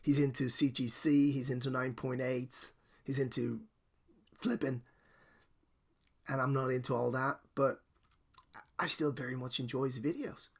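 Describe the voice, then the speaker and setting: A middle-aged Danish man speaks into a desk microphone with a gravelly, fry-laced voice. His Danish accent carries Irish and American traces, and he rambles self-consciously, apologizing often. His pitch sits in a middle register for a man. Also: fairly even intonation, unhurried, pauses often. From Denmark. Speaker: comic book collector. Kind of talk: casual heartfelt video message.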